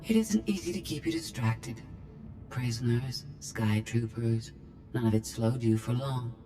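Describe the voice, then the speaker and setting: An actress playing a rogue female droid mastermind in a video game, deliberate, clinical and gloating, with a synthetic robotic tone. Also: a bass voice, exaggerated voice. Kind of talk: video game villain acting. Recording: game music under the voice.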